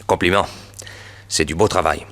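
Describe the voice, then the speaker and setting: Deep-voiced, cold criminal mastermind. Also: male voice, steady tone, fairly bright tone. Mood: cold, calculating. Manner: measured, matter-of-fact, precise instructions. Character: cold, mysterious male mastermind hiring a killer. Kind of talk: 1960s French radio drama acting.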